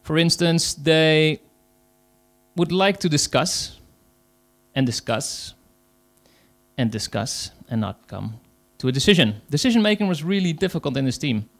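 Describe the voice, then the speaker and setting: Gravelly Dutch-accented man giving a talk. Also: on the high side, lively intonation, fairly bright tone. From Netherlands. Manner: hesitant, many fillers, pauses. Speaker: conference speaker, agile coach. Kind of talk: conference talk.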